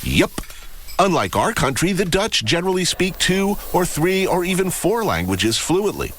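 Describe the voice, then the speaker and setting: Wry, lively-voiced male travel host. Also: sits high, normal speed, slight background noise. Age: middle-aged or older. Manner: dry, wry humor. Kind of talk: scripted travel narration and casual conversation.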